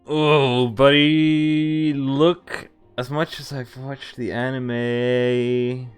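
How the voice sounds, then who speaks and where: A man's voice: medium pitch, flat delivery, drawn-out words, smooth, faint music behind. A man streaming a visual novel, thinking aloud about choices and reading the story text in first person. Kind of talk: live game commentary and reading text aloud.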